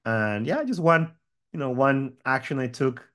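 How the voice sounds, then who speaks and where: A man's voice: medium pitch, lively intonation, a little slow, fairly bright tone. A man, chatting as a guest, friendly and rambling. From Mexico. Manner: friendly, rambling, many fillers and restarts. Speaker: guest, tech company founder. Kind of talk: interview chat.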